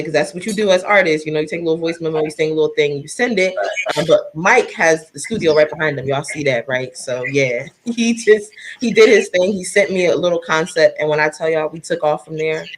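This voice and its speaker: Woman, soft voice, chatty live stream, addresses viewers, faint background noise. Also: sits low, fairly quick. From New Jersey. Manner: chatty, laughs often, talks straight to viewers. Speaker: singer and live stream host. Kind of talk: casual live stream chat.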